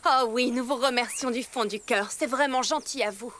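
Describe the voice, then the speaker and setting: A woman's voice: fairly high pitch, normal speed, close to an everyday voice. A woman voicing a gracious, friendly villager in a French children's cartoon, effusive in delivery. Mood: grateful. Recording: music behind the voice.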